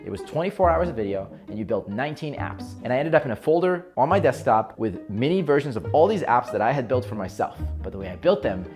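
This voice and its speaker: A man's voice: mid-range voice, very animated, fast, hardly any pauses, darkish tone, faint music behind. A young man talking as a video host, giving self-improvement advice in an emphatic, instructional explainer style. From Israel. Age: young adult. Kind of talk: direct-to-camera explainer.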